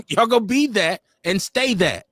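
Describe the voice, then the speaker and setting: A man's voice: high voice, big pitch swings, slow, a bit bright, no echo. A man co-hosting a casual live podcast, rambling through stories with frequent self-corrections and laughs. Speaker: podcast co-host. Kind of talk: casual chat. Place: home studio, livestream.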